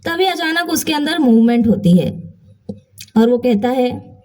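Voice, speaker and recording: Woman reading out a dramatic emotional bedtime story, really high voice but mellow tone. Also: very animated, measured pace.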